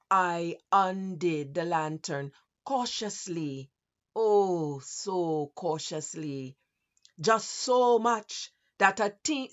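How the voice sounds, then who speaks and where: A woman voicing a nervous, obsessive mad narrator in a Grenadian accent, in a deliberate, theatrical reading of a gothic horror story. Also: on the low side, lo-fi sound. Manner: deliberate, theatrical, halting phrases. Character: nervous madman narrator insisting on sanity, obsessive and cunning.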